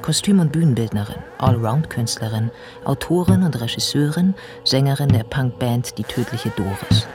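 A male actor as the observant narrator of a literary radio portrait, speaking in measured, descriptive phrases. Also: mid-range voice, lively intonation, darkish tone, faint music behind, lightly acted. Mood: observing.

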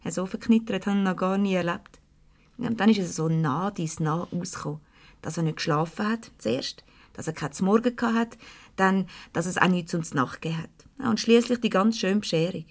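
An actress playing a brisk, conscientious office secretary with a Swiss German accent, easily exasperated, in 1970s radio comedy style. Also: female voice, mid-range voice, lightly acted. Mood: gossiping, dismayed.

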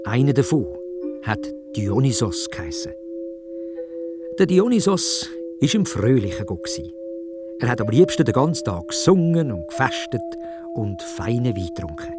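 A man with a Swiss German accent telling a children's story in dialect, expressive and playful. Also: mid-range voice, normal speed, music in the background.

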